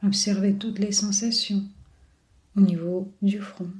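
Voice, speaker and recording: A woman leading a guided body scan meditation in a soothing, unhurried manner with long pauses. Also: mid-range voice, little pitch movement, darkish tone. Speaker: yoga and relaxation guide.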